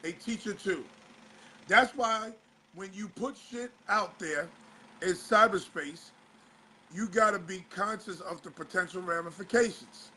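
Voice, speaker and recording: A male show host, slightly hoarse, talking in a casual commentary style and firing off exasperated rhetorical questions. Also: sits high, a little slow, bright, forceful tone, slight background noise, low-quality recording.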